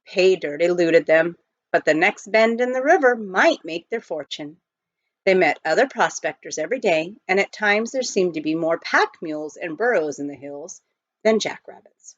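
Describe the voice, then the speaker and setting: Expressive woman reading a storybook, dull recording. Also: fairly low pitch, moderate pace, no room sound. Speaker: audiobook reader, teacher. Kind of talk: expressive storybook read-aloud.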